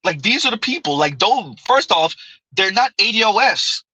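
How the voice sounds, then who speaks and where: A man talks through viewer comments on his live stream in a loose, chatty way. His voice is sharp and forward, sitting a little high for a man. The sound is close and dry, with almost no room around it. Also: big pitch swings, moderate pace, slightly rough, no room sound, rough recording. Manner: conversational, repeats "right?", laughs often.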